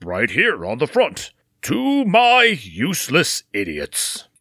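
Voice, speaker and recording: A man playing a dim, eager, childlike villain's henchman, earnest and simple, with a rough voice. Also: a little high, huge pitch swings, slow, sharp tone, no echo, studio quality, heavily acted. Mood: earnest, insistent.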